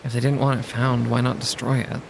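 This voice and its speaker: A man's voice: mid-range voice, flat delivery, fairly quick, creaky, background noise, close to an everyday voice. A man playing a nervous civilian woman in an audio drama, stammering and hesitating timidly. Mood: curious, uncertain.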